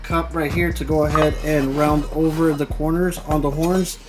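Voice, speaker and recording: A man's voice: on the high side, little pitch movement, moderate pace. A man hosting a DIY car audio build video, talking informally and explaining each step as he works. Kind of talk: casual hands-on workshop tutorial. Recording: music playing behind the voice. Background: music.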